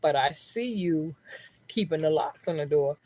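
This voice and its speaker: A woman giving a live tarot reading in casual, slangy, profane talk. She keeps checking in with her listeners and sometimes drops her voice low into a close microphone. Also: animated, measured pace, a little mellow. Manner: slangy, profane, keeps asking "you know what I'm saying"; lowers her voice to keep it down. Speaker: tarot reader. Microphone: own microphone, close up.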